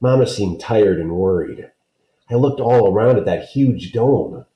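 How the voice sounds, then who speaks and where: A man's voice: on the low side, animated, unhurried, warm tone. A man reading a children's story aloud, with plain explanatory asides. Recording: roomy, echoing sound.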